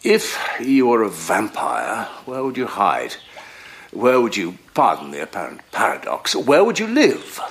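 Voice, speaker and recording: An eccentric older professor of psychoanalysis in an audio drama. He is courteous and erudite, with a lowish voice that rises and falls freely as he hesitates and corrects himself. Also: huge pitch swings, a little slow, heavily acted. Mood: musing, wry.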